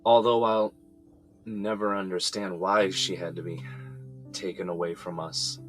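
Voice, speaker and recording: An actor playing a grown son who is in jail and prays for help, in an earnest, halting way with sighs. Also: male voice, deep voice, flat delivery, a bit bright, poor audio. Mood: pleading. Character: adult son jailed, praying for release. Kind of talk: audio drama acting. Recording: music under the voice.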